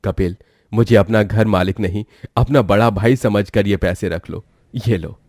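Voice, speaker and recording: A man voicing a generous, grateful older village landlord in a cartoon moral story, speaking in a measured, magnanimous way. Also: lowish voice, darkish tone, no echo, clean studio sound.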